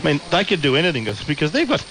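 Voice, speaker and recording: Older Australian man, bright rambling voice. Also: fairly high pitch, sing-song, very fast, slight background noise, low-quality recording. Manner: rambling, chuckling. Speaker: radio rugby league caller. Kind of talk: post-match radio panel chat.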